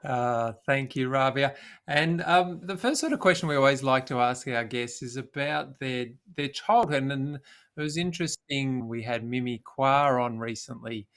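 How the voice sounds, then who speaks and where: A man's voice: medium pitch, moderate pace, a bit bright, clear, resonant tone. A man podcast co-host asks a long interview question in a hesitant way, with many fillers.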